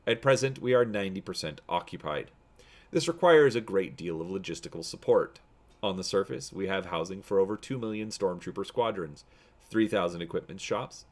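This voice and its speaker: A man's voice: low-pitched, close to an everyday voice. A man playing an officious, droning imperial officer giving a formal guided tour in a science fiction story.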